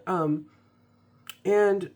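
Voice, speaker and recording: A woman's voice: fairly low pitch, fairly even intonation, very slow, a little mellow. A young woman recovering from a cold, chatting casually with ums and restarts as she reviews an ink. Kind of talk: casual product review vlog.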